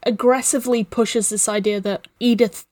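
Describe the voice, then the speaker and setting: A woman with a fairly high voice talks casually about films as a guest. Her commentary is appreciative and opinionated, recorded very dry with no room sound. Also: steady tone, normal speed, darkish tone, no room sound. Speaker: podcast guest. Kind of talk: casual film discussion.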